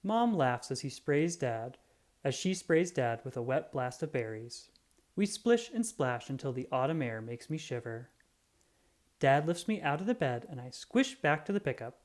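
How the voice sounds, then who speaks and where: A reader reading a children's picture book aloud in an expressive storytelling manner. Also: medium pitch, moderate pace.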